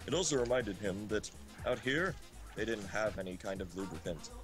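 A man's voice: on the low side, normal speed, fairly bright tone, faint music behind. A man reading a story aloud in an amateur, informal way on a group livestream. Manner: breaks into laughter and asides. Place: voice chat on a game livestream.

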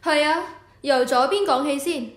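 High-voiced, authoritative temple official played by a woman. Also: a little slow, echoey room. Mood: instructive. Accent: Hong Kong Cantonese. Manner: authoritative, instructive. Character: official in charge of the Temple of Heaven, instructive superior. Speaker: voice actor. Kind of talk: scripted educational radio drama.

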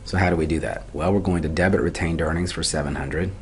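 Very deep-voiced man teaching, noisy recording. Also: fast talker. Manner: methodical, step by step, poses questions then answers them. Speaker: accounting instructor. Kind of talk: explanatory lecture for a video tutorial.

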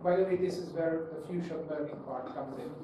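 A man's voice: on the high side, brisk, mellow. A man co-presenting a technical talk, explaining off the cuff and restating points to clarify. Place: indoor meetup room. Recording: very echoey room, distant mic, faint noise.

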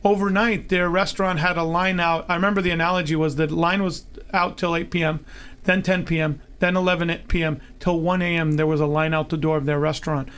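A man in his forties from Long Island, telling stories as a podcast guest in an animated, laughing way. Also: a little high, brisk, clean tone. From Long Island, New York. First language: Greek. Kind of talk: storytelling in an interview.

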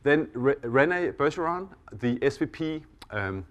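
A middle-aged man from Denmark with a Danish accent, speaking as host of a conference stage session in a relaxed, self-deprecating way, often pausing on "uh" and "um". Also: a little high. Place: conference hall.

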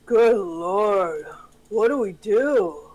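Wary female monster investigator, read by a woman, mid-range voice, slow delivery, script drama. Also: medium pitch, lively intonation, darkish tone, low-quality recording. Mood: uneasy. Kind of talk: amateur script table read.

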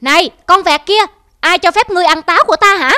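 A woman's voice: very high voice, quick pace. A woman voicing a boastful, greedy male monkey in a cartoon who bosses the other animals. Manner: haughty, bossy, threatening. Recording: voice over loud music.

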